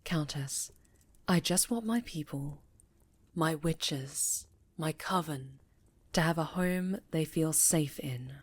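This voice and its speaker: A woman voicing a dignified, guarded leader of a witches' coven in an ASMR fantasy roleplay, formal, measured and earnest. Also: deep voice, husky, exaggerated voice. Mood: earnest, weary.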